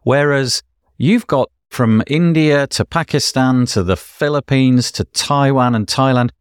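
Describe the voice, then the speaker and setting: A man's voice: mid-range voice, no room sound, studio quality. A man interviewing a guest, asking long, courteous questions and apologizing politely along the way. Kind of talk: podcast interview.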